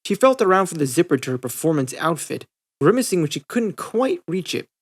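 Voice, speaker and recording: A man's voice: on the high side, very animated, a little fast. A man narrating a scripted story as an audiobook reader. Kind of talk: scripted fan-fiction narration.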